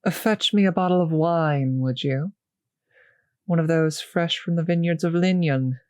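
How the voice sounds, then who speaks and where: A woman playing a refined, possessive vampire mistress in an intimate roleplay audio drama, teasing and condescending, with a breathy, husky voice that drifts into whispers. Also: low-pitched, measured pace, a little mellow, no echo, studio quality. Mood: breezy, charming.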